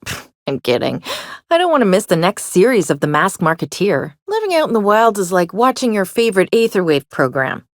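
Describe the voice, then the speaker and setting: Sardonic young female would-be outlaw for a game: wry, theatrical, very lively intonation, dry studio sound. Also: medium pitch, a little fast, no echo. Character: sardonic, serial-obsessed young woman, would-be frontier outlaw. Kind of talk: video game character dialogue.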